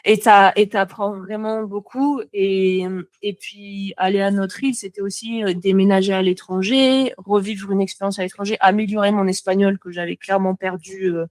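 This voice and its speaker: A woman's voice: mid-range voice, fairly even intonation, normal speed, few pauses, a little mellow, no room sound. A young French woman, a motion designer, chatting as a podcast guest, talking freely with lots of fillers and restarts. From France. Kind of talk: casual podcast conversation.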